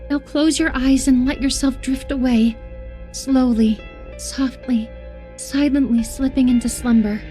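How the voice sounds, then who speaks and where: Horror game role: obsessive young woman captor, high-pitched, sweetly coaxing yet volatile, music underneath. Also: steady tone, a little slow, warm tone. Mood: menacing, soothing. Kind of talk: dramatic visual novel horror game acting.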